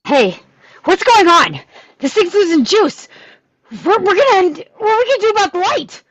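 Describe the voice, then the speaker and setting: A woman at a film-script table read playing a panicky, claustrophobic teenage boy who complains and frantically shouts. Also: extremely high pitch, big pitch swings, moderate pace, dry recording, poor audio. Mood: nervous panic.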